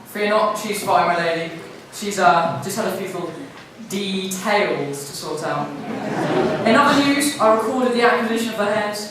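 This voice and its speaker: Across an echoing, noisy hall, a woman plays a gallant young pantomime hero. The voice is mid-pitched and distant, courtly and reassuring in delivery. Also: normal speed, natural voice. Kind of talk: live amateur pantomime acting. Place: large school hall stage.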